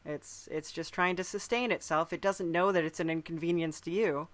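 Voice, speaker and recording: A man hosting a casual conversational interview, agreeable and halting, often repeating his phrases. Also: on the high side, fairly even intonation, fairly bright tone. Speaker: podcast host, interviewer.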